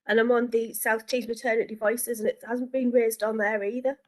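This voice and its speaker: Croaky-voiced woman councillor. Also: a little high, little pitch movement, brisk. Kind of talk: question in council meeting.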